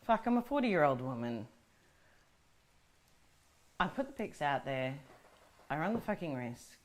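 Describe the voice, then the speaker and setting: A woman's voice: low-pitched, big pitch swings, a little slow, a little hiss or hum. An actress in her forties playing a profane, panicky woman who scolds herself and talks herself through her fear, short of breath. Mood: defiant. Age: 40s. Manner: swears heavily, talks to herself. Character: frazzled forty-year-old woman, profane, self-scolding. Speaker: adult content creator. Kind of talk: dramatic monologue.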